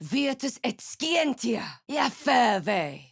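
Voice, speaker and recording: A fierce female spellcaster from a fantasy game. Her fairly high voice swings dramatically in pitch. She draws out each incantation slowly, with commanding emphasis. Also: sing-song, vocal fry, exaggerated voice. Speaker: player-character voice actor.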